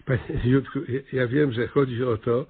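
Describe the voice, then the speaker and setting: An actor playing a blustering, slow-witted small-town mayor in a radio comedy, stammering and repeating his words. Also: medium pitch, steady tone, husky. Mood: baffled, hesitant. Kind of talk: Polish radio play comedy acting. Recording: slightly muffled, lo-fi radio recording.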